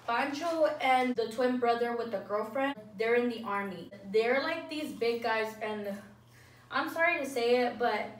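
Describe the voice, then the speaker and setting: A woman's voice: fairly high pitch, a bit bright, far from the mic, slight background noise. Young woman rambling, off-mic in an echoey room. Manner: rambling, fills speech with "like". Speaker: vlogger. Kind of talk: casual storytime.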